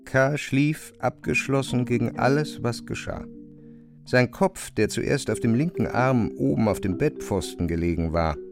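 A man reading scripted literary narration for a radio drama in a measured, precise way. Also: medium pitch, vocal fry, over music.

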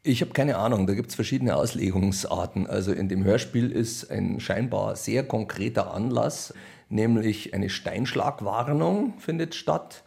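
A man with a low voice and very heavy creak speaks with a Bavarian accent. He answers interview questions conversationally, in a wry and colloquial manner. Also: unhurried, a little mellow. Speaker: radio play author and director being interviewed.

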